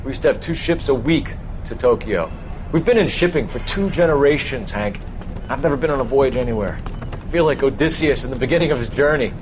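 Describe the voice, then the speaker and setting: An actor playing an earnest, authoritative young American detective and veteran in a video game, speaking in a clipped manner. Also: male voice, mid-range voice, expressive, brisk, background noise, lightly acted.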